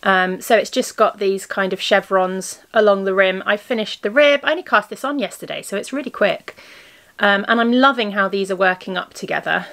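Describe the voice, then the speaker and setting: Hoarse woman chatting about her knitting. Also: mid-range voice, fairly quick, a bit bright. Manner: chatty and rambling, showing her knitting to the camera. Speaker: crafting podcast host and yarn shop owner. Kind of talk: casual video podcast chat.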